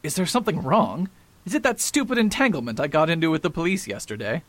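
An actor playing a proud, pompous detective in a radio drama, lofty and defensive in manner. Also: male voice, fairly high pitch, very animated, fast talker, no room sound. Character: proud pompous male detective, middle-aged.

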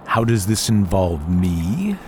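A man's voice: deep voice, sing-song, slow pace, noisy background, exaggerated voice. An actor playing a pompous, power-hungry male senator in a radio drama, grandiose and blustering, as if always making a speech. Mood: wary, intrigued. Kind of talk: old-fashioned radio drama acting.

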